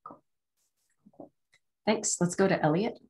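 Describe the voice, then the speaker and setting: A young woman on a headset at home, briskly calling on people as instructor on a video call. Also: medium pitch, darkish tone. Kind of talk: leading introductions on a video call. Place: home room.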